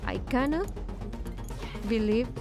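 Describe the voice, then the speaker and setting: A woman's voice: a little high, animated, slow pace, music in the background. A woman game streamer giving casual, reactive gameplay commentary in muttered asides.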